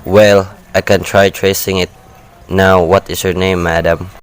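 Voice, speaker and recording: A man playing an unruffled, bureaucratic chief telephone operator in an amateur radio play, measured and matter-of-fact. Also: deep voice, flat delivery, smooth, rough recording. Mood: calm, official.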